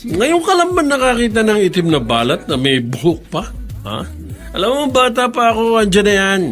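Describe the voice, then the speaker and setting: A flirtatious, easily irritated restaurant guest in a radio drama. His voice sits high for a man and swings dramatically as he teases and insists. Prominent music plays behind him. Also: huge pitch swings, moderate pace, fairly bright tone, heavily acted. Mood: explaining, self-conscious. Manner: teasing, insistent, exclamatory. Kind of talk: Filipino radio drama acting.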